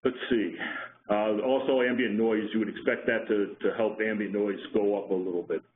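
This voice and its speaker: A man's voice: on the low side, fairly even intonation, normal speed. A man giving a technical lecture on a conference call, talking with hesitant restarts and fillers. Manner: hesitant, many restarts, self-deprecating. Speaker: presenter on marine acoustics. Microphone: phone line. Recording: tinny phone line, faint noise.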